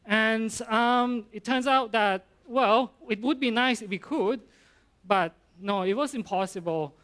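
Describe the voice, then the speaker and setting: A middle-aged Thai man, a university professor, giving an informal conference talk in English with a Thai accent, halting and full of fillers and restarts. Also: high voice, fairly bright tone, smooth.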